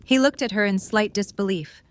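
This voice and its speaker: Mid-pitched woman narrating a story. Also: normal speed.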